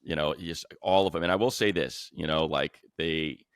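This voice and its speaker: Man, low-pitched voice, creaky edge, dry close sound, casual sports host, enthusiastic storyteller. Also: steady tone, moderate pace, dry recording. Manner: conversational, enthusiastic, colloquial. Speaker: sports show host and football play-by-play announcer.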